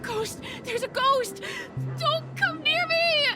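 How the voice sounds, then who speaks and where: A man's voice: takes it slowly, over music. An actor playing a panicked young man who believes he has died, frantic and crying, in a game cutscene. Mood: terrified. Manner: frantic, shrill, tearful pleading. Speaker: game character. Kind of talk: dubbed game cutscene acting.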